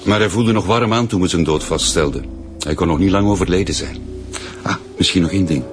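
A man's voice: low voice, fairly quick, natural voice. A man playing a matter-of-fact ambulance worker. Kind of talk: radio drama acting. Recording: music under dialogue.

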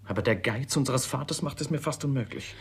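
An actor playing a lovestruck, vain, impetuous young gentleman in a classic radio play, with a husky, gushing, earnest delivery. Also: medium pitch, a little fast. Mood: frustrated, defiant.

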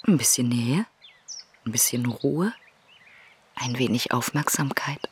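A man's voice: mid-range voice, measured pace, slight background noise, lightly acted. An actor playing a cultured, worldly man with a musing, ironic manner in radio drama dialogue. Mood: musing, intimate.

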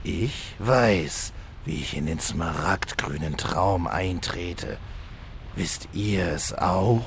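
A man's voice: deep voice, takes it slowly, creaky, a little hiss or hum, heavily acted. An actor playing a menacing, vengeful male demon hunter in a parody fantasy audio drama, solemn and disdainful. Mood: cool, scheming. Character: menacing male demon hunter seeking revenge on his brother.

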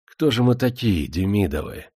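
Guy doing proud gruff mill boss in an audiobook, stern and resentful, fairly low voice. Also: animated, normal speed, no room sound, studio quality. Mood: brooding resentment. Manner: stern, forceful. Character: proud, gruff, resentful self-made factory owner.

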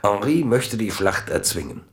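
A man narrating a literary radio drama in a measured, solemn way. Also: fairly low pitch, sing-song, soft background music.

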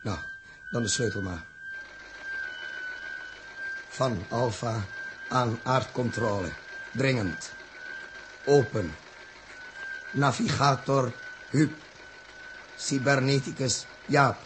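A forlorn space captain, played by a man speaks extremely slowly over clear background noise. His delivery is halting and bewildered, in the style of a 1960s science-fiction radio serial. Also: mid-range voice, natural voice. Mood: grave reporting. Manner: halting, murmured, deliberate. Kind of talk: 1960s Dutch radio drama acting. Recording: noisy vintage radio recording with humming ambience.

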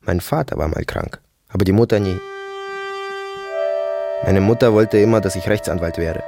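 An actor playing a brooding soldier haunted by family memories, in a halting, fragmentary radio-drama monologue. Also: male voice, low-pitched, vocal fry, music in the background. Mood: wistful, musing. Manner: halting, fragmentary, repetitive musing.

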